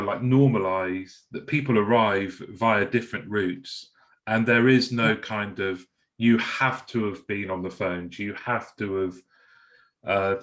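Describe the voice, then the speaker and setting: A man's voice: lowish voice, fairly bright tone. A man hosting a podcast, friendly and conversational, asking long, rambling questions. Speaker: podcast host. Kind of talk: podcast interview chat.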